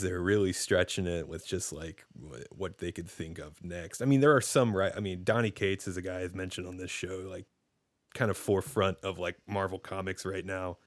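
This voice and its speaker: A man hosting a podcast interview over a video call, asking rambling, halting questions full of restarts. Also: low voice, no room sound, clean studio sound. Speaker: podcast host. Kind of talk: casual interview chat.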